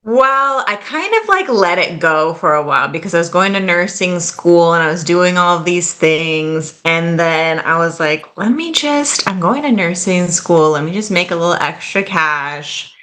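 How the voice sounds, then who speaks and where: Bright-voiced chatty woman in her late twenties. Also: fairly low pitch, lively intonation, normal speed, few pauses. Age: late 20s. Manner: chatty, fillers like "like" and "kind of", laughs easily. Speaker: podcast guest. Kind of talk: casual interview chat.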